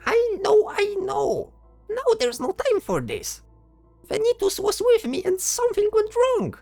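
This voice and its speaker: A woman's voice: very high voice, big pitch swings, unhurried, mellow, no room sound, faint music behind, exaggerated voice. A woman voicing a fretful, sarcastic flying skull companion in a fantasy audio drama. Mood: defensive, flustered. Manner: exasperated, sarcastic.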